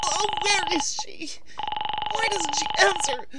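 An actress playing a nervous, imperious, querulous wife in 1940s radio suspense style, melodramatic and demanding. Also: female voice, very high-pitched, heavy background noise. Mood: anxious, querulous. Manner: melodramatic, demanding, drawn-out delivery.

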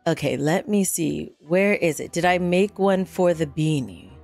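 A woman livestreaming as a theatrical, witchy horror hostess, with dramatic, drawn-out, formal phrasing and a coy temper. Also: fairly low pitch, creaky, darkish tone. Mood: measured, welcoming. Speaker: game streamer. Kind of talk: livestream chat in a put-on horror persona. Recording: music behind the voice.

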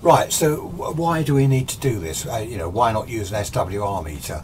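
An English man in his sixties with a very low-pitched voice talks to camera as a hobbyist host. He explains things informally and ramblingly, often rephrasing himself. The recording is made in a small workshop, with noticeable background noise. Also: moderate pace, a little mellow. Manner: rambling, conversational, self-correcting. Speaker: amateur radio and vintage radio video host. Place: small cluttered indoor radio workshop. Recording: clear background noise, room ambience.

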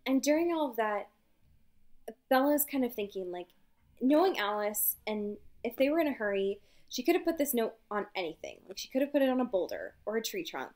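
A woman co-hosting a podcast, casually recapping a story in an animated way and acting out bits of dialogue. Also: on the high side, fairly quick. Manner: animated retelling, acts out dialogue. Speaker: podcast co-host. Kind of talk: casual chat recapping a novel.

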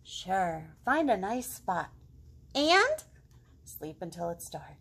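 A woman voicing a blunt, sleepy owl from a picture book in short clipped phrases, hushed then emphatic. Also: mid-range voice, very animated, slow pace, breathy edge, voice pushed down, full character voice. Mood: blunt advice.

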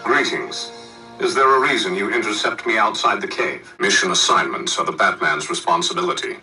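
A man voicing a stoic android superhero in a cartoon, with formal, measured phrasing. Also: lowish voice, sharp tone. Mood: stern. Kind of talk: cartoon voice acting. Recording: distant cartoon clip with music.

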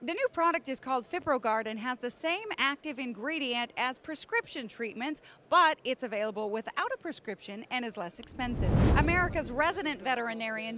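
A woman in her thirties hosting a trade show news report with crisp, upbeat broadcast delivery, speaking into a handheld mic on a convention floor. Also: sits high, background noise. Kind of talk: scripted news presenting.

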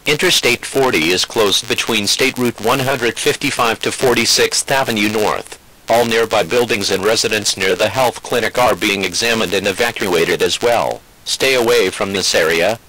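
A man's synthetic voice delivers a scripted emergency bulletin. It sits slightly low, with a bright, forceful tone. The delivery is formal and impersonal, like an automated alert announcer. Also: normal speed, poor audio.

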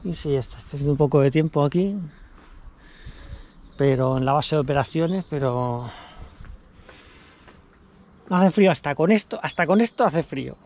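A man's voice: on the high side, moderate pace, long pauses. Chatty man showing his gear. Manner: chatty, repeats himself, lively asides. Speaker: vlogger. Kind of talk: casual show-and-tell vlog. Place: outdoors by a car in a car park. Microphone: action camera held at arm's length.

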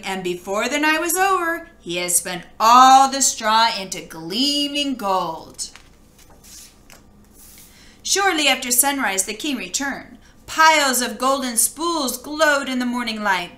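A woman reading a children's storybook aloud with expressive, dramatic storytelling. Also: on the high side, roomy sound. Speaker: children's book read-aloud reader.

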